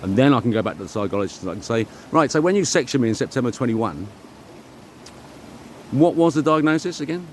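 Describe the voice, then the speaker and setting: A middle-aged man giving a rambling, informal monologue, speaking emphatically and self-assuredly in halting, repeated phrases. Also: medium pitch, expressive, a little hiss or hum. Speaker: vlogger.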